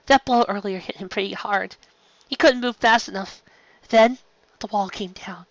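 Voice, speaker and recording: A male storyteller plays a weary survivor from a ship's crew, reporting gravely. The voice is a little high, in a dry recording. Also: moderate pace, no room sound, lo-fi sound. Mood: shaken. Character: weary crew member reporting after a disaster.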